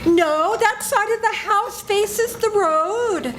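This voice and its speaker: Older woman doing terrified guilty woman, very high voice, slow and pleading, live radio play reading, faint background hiss. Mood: anxious. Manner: frantic, pleading. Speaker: senior theater performer. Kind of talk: old-time radio drama reading.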